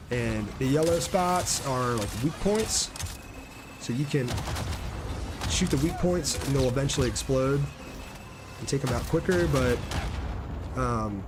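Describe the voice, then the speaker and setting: A man's voice: mid-range voice, noisy background. A man giving casual live gameplay commentary as a game streamer, talking to his viewers as he plays.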